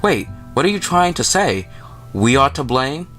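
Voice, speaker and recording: A man's voice: on the low side, expressive, moderate pace, a bit bright. A man voicing an ordinary young man in a visual novel, plain-spoken and defensive. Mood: offended. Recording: music playing behind the voice.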